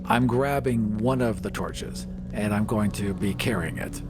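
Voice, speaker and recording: A man's voice: on the low side, unhurried. A man playing a tabletop game, describing his actions and rolls in casual talk. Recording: music behind the voice. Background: music.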